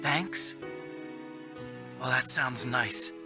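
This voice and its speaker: A man's voice: medium pitch, very slow, music in the background. An actor playing a nerdy, earnest young male computer whiz in a video game, with a boyish manner. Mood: resolved, sincere. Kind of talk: video game cutscene acting.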